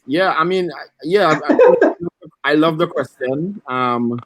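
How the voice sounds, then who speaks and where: A man's voice: on the high side, animated, unhurried, no room sound. Upbeat Trinidadian man on a call. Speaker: panelist. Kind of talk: webinar discussion. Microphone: video call.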